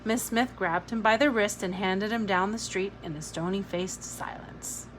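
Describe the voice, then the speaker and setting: A woman narrating a novel aloud in an expressive storybook reading style. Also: medium pitch, normal speed, slight background noise, poor audio.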